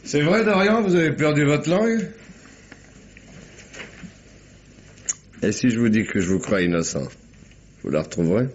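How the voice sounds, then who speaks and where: An actor playing a shrewd, talkative police commissioner with a probing, familiar, ironic manner in a French radio mystery. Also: male voice, medium pitch, lively intonation, a little fast, natural voice. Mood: teasing, assured. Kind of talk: French 1950s-style radio mystery acting. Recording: muffled, noisy old radio recording.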